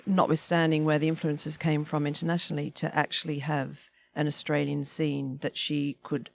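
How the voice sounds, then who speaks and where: A woman's voice: deep voice, flat delivery, moderate pace, vocal fry. A woman putting questions in a literary discussion, in a tentative, roundabout way. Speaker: panel questioner.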